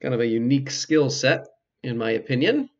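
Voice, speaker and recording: A man giving casual, measured commentary as he hosts a video game walkthrough, explaining things hesitantly with dry asides. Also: medium pitch, big pitch swings, lo-fi sound. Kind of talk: casual let's play commentary.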